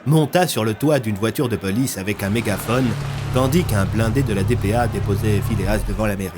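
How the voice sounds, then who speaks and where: A man's voice: fairly low pitch, a little fast, over music. A man narrating a scripted audio fiction as a storyteller.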